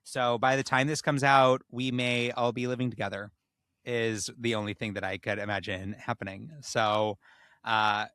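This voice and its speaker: A young man co-hosting a podcast in casual chat, with a playful, animated storytelling manner. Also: medium pitch, moderate pace, clear, resonant tone, no echo. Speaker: podcast co-host.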